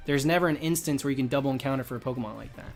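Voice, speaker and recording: A man's voice: medium pitch, racing, creaky. A man live streaming a game, explaining his opinions in casual, informal chat while his voice starts to give out. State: losing his voice. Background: music.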